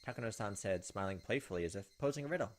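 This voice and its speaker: A man hosts a visual novel playthrough in a fairly low voice. He talks quickly and casually, switching between reading the text aloud and commenting on it. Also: expressive. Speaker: gaming video host. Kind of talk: let's play commentary mixed with reading game text aloud.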